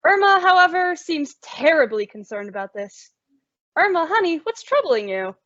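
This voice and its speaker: A woman's voice: very high-pitched, sing-song, normal speed, a bit bright, heavily acted. A woman playing a sensible, often exasperated young roommate in an old-time radio comedy, with emphatic theatrical delivery. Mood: wry. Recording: dull lo-fi audio.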